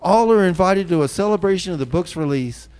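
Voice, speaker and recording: A man's voice: sits high, expressive, brisk, darkish tone, smooth. A man hosting an informal radio comedy show, speaking in a hesitant, conversational way and often repeating his phrases. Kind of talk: improvised comedic radio chat.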